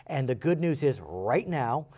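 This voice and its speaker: An American man works as a television interview host. He sounds polished and speaks straight to his guests, moving between scripted introductions and direct questions at a middling pitch. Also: very animated, measured pace, mellow, slightly rough.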